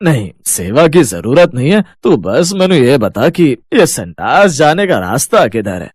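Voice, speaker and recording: A man's voice: medium pitch, sing-song, a little slow, slightly rough, no echo, studio quality. Guy doing an older sasur in a cartoon, earthy and comic, really swingy voice. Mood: folksy, amiable. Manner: folksy, rambling, unabashed. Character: earthy, comic older Punjabi father-in-law.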